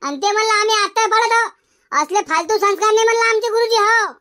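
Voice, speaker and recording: A man voicing a cheeky, boastful village schoolboy in a comedy cartoon, arguing in a Varhadi Marathi accent through a pitched-up effect. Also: fairly even intonation, fast talker, sharp tone. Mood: indignant protest. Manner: argumentative, indignant, backtalking.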